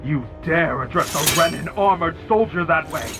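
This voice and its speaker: Man acting a high, barking arrogant armored soldier. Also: moderate pace, natural voice. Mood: barking, menacing. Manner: barking, domineering, contemptuous. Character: arrogant, brutish male armored soldier of an occupying army. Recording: background music under voice.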